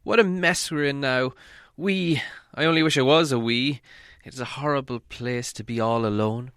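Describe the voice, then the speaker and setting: Casting: male reader as plucky, anxious little hobbit for an audiobook; raised pitch, earnest and plaintive delivery. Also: moderate pace, a bit bright, clear, resonant tone, dry recording, studio quality, natural voice. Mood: lamenting. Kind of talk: audiobook character voice.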